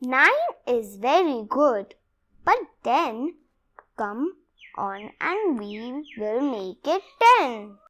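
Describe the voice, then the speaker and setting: Bright, high-pitched young girl reading aloud. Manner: expressive, deliberate word-by-word reading in short phrases. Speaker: read-along presenter. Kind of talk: read-aloud of a rhyming picture book.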